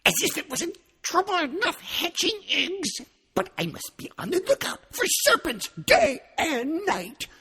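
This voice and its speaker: A man reading a storybook aloud, voicing a tearful, accusing female pigeon in a shrill, sobbing way. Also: very animated, a little slow, creaky, pushed and bright, in a large hall, heavily acted. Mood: aggrieved, shrieking.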